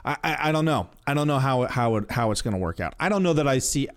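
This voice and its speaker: A man's voice: mid-range voice, lively intonation, fast. A middle-aged man with an American accent co-hosting a casual pop-culture chat, wry, blunt and prone to casual swearing. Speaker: podcast co-host.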